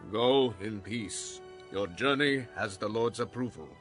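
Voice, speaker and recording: An actor playing a calm young priest in a dramatized audio Bible, speaking in a measured, assured way. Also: male voice, on the low side, faint music behind. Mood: calm assurance.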